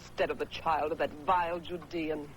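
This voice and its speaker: A woman's voice: sits low, fairly even intonation, moderate pace, slight background noise, natural voice, rough recording. An actress playing a jealous queen and mother in a 1950s epic film, speaking with cutting scorn. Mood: scornful.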